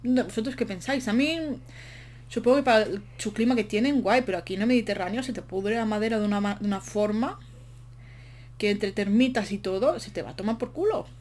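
A woman's voice: mid-range voice, brisk, a little mellow. Young woman rambling over a build, bit breathless, faint hum behind. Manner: chatty, rambling, self-mocking asides. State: short of breath. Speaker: game build commentator. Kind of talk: casual voice-over while building in a game.

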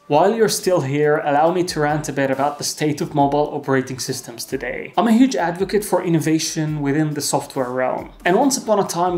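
A young man hosting a tech video, narrating a scripted review in a clear, earnest and opinionated way from a desk at home. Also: fairly high pitch. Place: home desk room with lamp.

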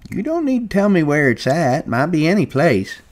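A male reader puts on an old ranch swamper, pitched well up high. He is humble, eager and hopeful, talking in rustic American speech as in a storybook. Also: big pitch swings, moderate pace. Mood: curious.

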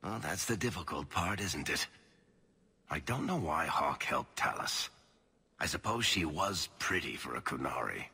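Wry male dwarf rogue, low pitch, swooping intonation, sardonic, teasing storyteller, video game drama. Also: lively intonation, measured pace, vocal fry, sharp tone, breathy edge, full character voice. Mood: sardonic, storytelling.

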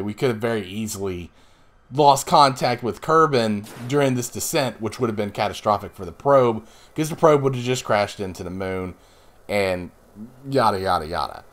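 A man in his thirties, a little hoarse today, casually explaining his moves while playing a space game, with rambling asides. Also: fairly low pitch, fairly bright tone. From Northeastern US. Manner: rambling, explanatory, self-deprecating. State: slightly hoarse. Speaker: let's play host. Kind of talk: casual gameplay commentary.

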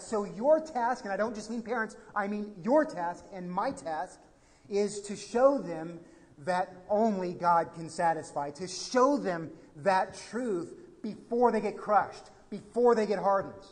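Animated American pastor preaching in a reverberant church. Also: male voice, high voice. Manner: animated, gesturing, swings from quiet to emphatic. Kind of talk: sermon. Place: church sanctuary at a pulpit. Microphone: pulpit microphone.

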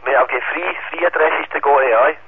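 A man's voice: on the high side, fast talker, breathy edge. A Scottish man chatting casually as an amateur radio operator, confrontational and swearing heavily, over a two-way radio. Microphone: radio transceiver. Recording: tinny band-limited radio link, static noise.

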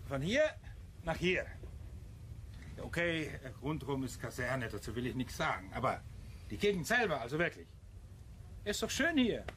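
A man's voice: mid-range voice, sing-song, pushed and bright, slight background noise. An actor playing an obliging, easygoing driver talking deferentially to his boss in a radio drama. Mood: placating, cheerful. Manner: deferential, slow, colloquial. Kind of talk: German radio crime drama acting.

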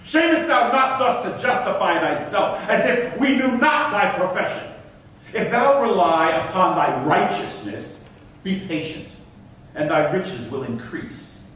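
A man as a pompous governor, high-pitched and swooping. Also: lively intonation, unhurried, fairly bright tone. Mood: sanctimonious scolding. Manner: declamatory, pompous. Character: stern, sanctimonious, unyielding governor of Malta. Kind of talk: theatrical staged reading of Elizabethan verse. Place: theatre stage in a hall. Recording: echoey hall, distant mic, faint noise.

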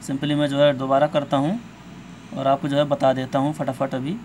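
A man's voice: medium pitch, flat delivery, normal speed, darkish tone. A man hosting an informal step-by-step phone tutorial, speaking to viewers as friends in a repetitive, somewhat hesitant way. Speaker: tech tutorial host. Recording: clear background noise, amateur recording.

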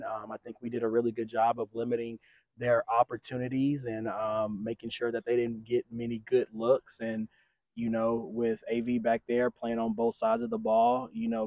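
A middle-aged man, a head soccer coach, giving a measured post-game interview outdoors on a field at night. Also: medium pitch, dry recording, dull audio. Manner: measured, steady, frequent fillers.

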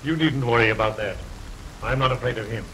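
An actor playing a wealthy, lovestruck, scheming gentleman in an early 1930s film, speaking formally and intensely. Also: medium pitch, fairly quick, lightly acted, rough recording. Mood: desperate resolve. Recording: hissy 1932 film soundtrack, stormy rain behind.

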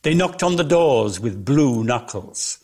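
A man reads lyrical prose as a theatrical storyteller. His voice is gravelly and husky, and it swings expressively through its range at a slow, measured pace. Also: medium pitch, animated, a little mellow. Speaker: reader of a dramatic story.

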